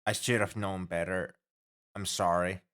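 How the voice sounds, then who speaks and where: Casting: male actor for a worrying, devoted alien shipmate, fairly deep voice, earnest and halting, formal turns of phrase, intimate ASMR roleplay. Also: level tone, a bit bright. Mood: remorseful, shaken. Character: anxious, caring male alien crewmate on a spaceship. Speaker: ship crewmate tending a sick human.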